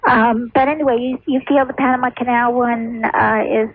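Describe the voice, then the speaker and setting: A woman's voice: on the high side, fairly even intonation, moderate pace, slightly rough, no echo. A woman calling a radio show, chatting casually in a hesitant, questioning manner. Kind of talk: casual phone conversation. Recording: tinny phone line on old radio.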